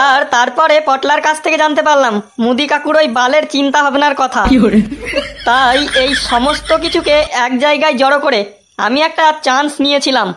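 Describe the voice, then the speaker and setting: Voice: high-pitched, slight background noise. A performer voicing a cocky, bossy young gang leader in a crude comedy cartoon, scolding and brash. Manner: brash, scolding, foul-mouthed. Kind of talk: exaggerated comedy cartoon dubbing. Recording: loud music bed behind voice.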